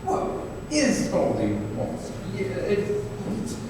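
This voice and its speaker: Man playing a meek, high-voiced clerk. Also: huge pitch swings, slow, a little mellow, exaggerated voice. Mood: meek, grateful. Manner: timid, deferential. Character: meek, eager, humble male clerk. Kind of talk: old-time radio play acting. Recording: distant echoey hall, noticeable hiss.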